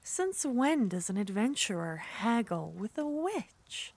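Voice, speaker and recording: A woman performing an ASMR roleplay as a jealous, possessive witch, speaking softly and often whispering. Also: on the high side, very animated, slow, breathy edge, no room sound. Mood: haughty. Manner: soft-spoken, teasing, often whispering. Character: jealous possessive young witch, teasing and haughty.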